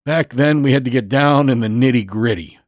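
A man voicing a genial, boastful Navy veteran in his eighties for an audio storybook, folksy and orating, with drawn-out emphatic phrases. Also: mid-range voice, dry recording, studio quality, voice pushed up, close to an everyday voice. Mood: proud, reminiscing. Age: 80s.

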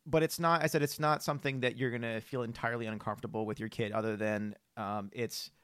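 Male podcast host: conversational, halting delivery, medium pitch, very dry sound. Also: fairly even intonation, fairly bright tone, clear, resonant tone, dry recording.

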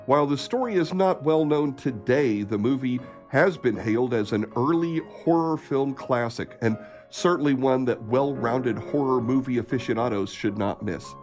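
A man's voice: medium pitch, normal speed, few pauses, a little mellow. A man hosting a radio theatre programme, reading a scripted introduction. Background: music.

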